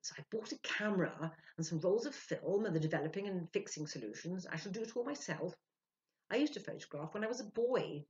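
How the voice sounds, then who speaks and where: An earnest, self-important man who works as a medium, acted out by an older woman storyteller, speaks in emphatic, animated swings. He keeps justifying himself. It is a storybook reading on a slightly dull home recording. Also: lowish voice, a little fast, pitched above the performer's own voice, lightly acted. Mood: eager, emphatic.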